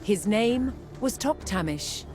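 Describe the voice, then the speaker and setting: Female documentary narrator, mid-pitched voice, measured dramatic storytelling, deliberate pauses, prominent music behind. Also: expressive. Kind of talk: scripted historical documentary narration.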